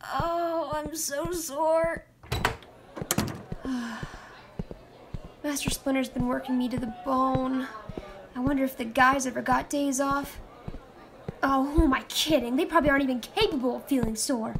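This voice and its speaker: A woman's voice: sits high, steady tone, a little slow, long pauses, noisy background. A young woman voicing a teenage girl in a comic dub, dreamy and giggly, easily flustered. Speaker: voice actor.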